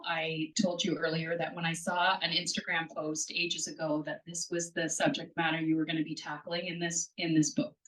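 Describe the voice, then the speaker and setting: A woman moderating a live bookstore reading, loud and chatty, laughs easily, speaking into a handheld mic. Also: low-pitched, distant mic, rough recording. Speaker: event moderator. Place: bookstore event room. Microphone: handheld microphone.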